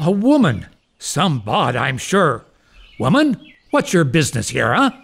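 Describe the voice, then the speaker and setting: A man's voice: fairly high pitch, huge pitch swings, a little slow, vocal fry, husky, full character voice. A man playing a pompous, suspicious, half-mad doctor and guardian in a period comic audio drama. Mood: suspicious, blustering. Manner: pompous, blustering, archaic.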